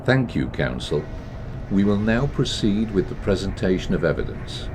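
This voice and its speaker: A man playing a formal courtroom judge who runs the proceedings in a measured, procedural way. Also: lowish voice, big pitch swings, noisy background. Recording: music playing underneath.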